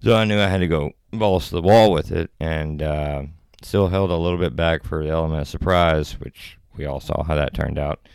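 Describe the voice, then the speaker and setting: Deep, gravelly male guest with a laid-back, rambling delivery on a close, dry podcast mic. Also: normal speed, few pauses, darkish tone, no echo. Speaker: actor and filmmaker guest. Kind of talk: casual podcast chat.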